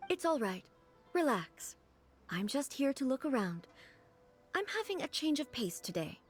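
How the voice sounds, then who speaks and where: An actress voicing a composed, formal divine ruler who speaks in a calm, measured way in a game cutscene. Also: female voice, high voice, husky, soft background music, exaggerated voice. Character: composed divine woman ruler, regal and curious.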